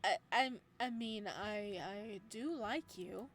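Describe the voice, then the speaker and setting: A woman voicing an ancient male god from a visual novel, gentle and soft-spoken, stammering when flustered. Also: medium pitch, takes it slowly, slight background noise. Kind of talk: visual novel dialogue read aloud.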